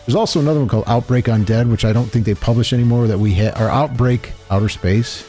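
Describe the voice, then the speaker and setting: A man's voice: medium pitch, fast, mellow, faint music behind. A middle-aged man hosting a podcast, chatting loosely about a tabletop game with lots of fillers and restarts. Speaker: host presenting a tabletop game. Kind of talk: casual podcast chat. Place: shop or studio room.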